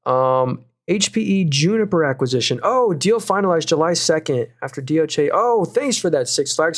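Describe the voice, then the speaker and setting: A young man hosting a live stream, reading viewers' comments and talking through stock picks in a casual, upbeat way with lots of fillers. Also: on the high side. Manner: casual, upbeat, quick asides, frequent fillers and restarts. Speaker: stock market livestream host.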